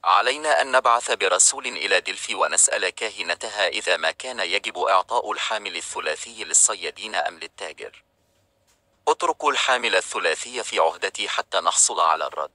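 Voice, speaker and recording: A man narrating a children's storybook in a calm, even reading style. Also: low-pitched, quick pace, no room sound.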